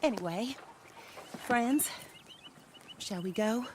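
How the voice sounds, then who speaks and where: An actress playing a middle-aged, vengeful widow in a western game, speaking in a formal, genteel, biting way. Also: female voice, on the high side, takes it slowly, a little mellow, slight background noise. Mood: guarded, resolute. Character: bitter, grieving, vengeful widow of the Old West. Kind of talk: cinematic western game acting.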